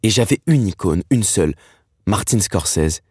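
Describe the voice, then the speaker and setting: A man, narrating his own story from a script in a wry, slangy way with dramatic pauses. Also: deep voice, lively intonation. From Paris, France. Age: 30s. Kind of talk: scripted first-person narration.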